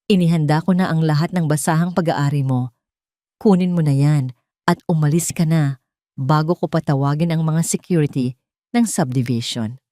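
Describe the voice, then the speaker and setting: A woman's voice: deep voice, moderate pace, dark tone, dry recording, clean studio sound, natural voice. A woman voicing a cold, contemptuous husband in an audio drama, with a curt, commanding manner. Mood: cold contempt. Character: cold, contemptuous husband throwing out his wife.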